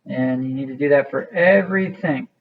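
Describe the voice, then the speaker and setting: A man's voice: mid-range voice, moderate pace, creaky, narrow, tinny audio. A man teaching a hands-on craft demonstration in a casual, informal way, thinking aloud as he works. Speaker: art teacher.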